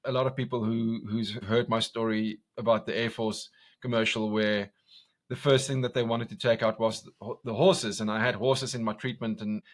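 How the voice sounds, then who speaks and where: A South African man with an Afrikaans accent, a guest in an interview, talking loudly and intensely with lots of restarts. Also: lowish voice, little pitch movement, moderate pace. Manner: loud, intense, passionate, many restarts. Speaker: guest, commercial director. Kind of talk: casual interview conversation.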